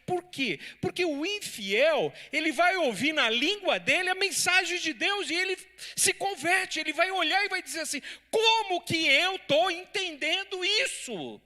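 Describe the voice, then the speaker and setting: A man, a pastor, teaching a Bible study in church with animated, lively delivery and banter with his listeners. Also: extremely high pitch, a little slow, few pauses.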